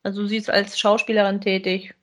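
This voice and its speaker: A woman's voice: medium pitch, flat delivery, a little fast. A woman chatting casually as co-host of a podcast that reviews audio dramas.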